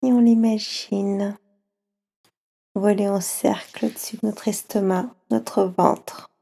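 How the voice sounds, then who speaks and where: A woman with a French accent guiding children through a slow yoga nidra relaxation, speaking softly and soothingly with long pauses. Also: medium pitch, fairly even intonation, vocal fry, slightly rough.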